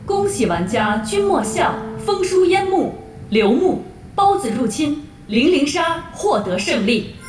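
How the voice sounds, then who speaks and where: A ceremonious game system announcer. She proclaims slowly in a high, lilting voice, echoing from a distance over music. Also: very animated. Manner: formal, proclaiming. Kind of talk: game announcement in audio drama.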